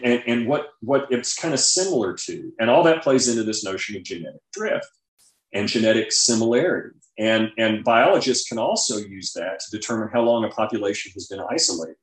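A man's voice: medium pitch, expressive, brisk. A man being interviewed as a guest author and scientist, explaining things informally and conversationally with everyday examples. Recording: roomy, echoing sound.

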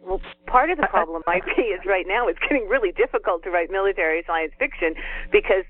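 A woman speaking as a guest author over a call, giving opinions in a measured, emphatic, matter-of-fact way. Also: medium pitch, lively intonation, slight background noise. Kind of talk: considered opinion in casual discussion. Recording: narrow tinny telephone sound.

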